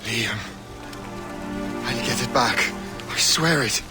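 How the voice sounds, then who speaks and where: A man's voice: medium pitch, slow, music in the background, natural voice. A man playing an earnest, resolute young renegade assassin in a dramatic video game performance. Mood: grave, determined.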